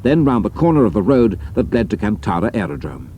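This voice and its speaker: Low, animated British male storyteller. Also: a little fast, slight background noise. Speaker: narrator. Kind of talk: scripted dramatic storytelling narration.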